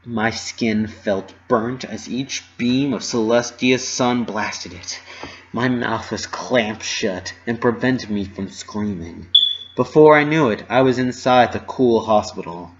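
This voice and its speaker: Male story reader, lowish pitch, dramatic first-person narration, slightly muffled lo-fi sound. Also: takes it slowly. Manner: expressive, dramatic delivery. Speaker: reader of fan fiction.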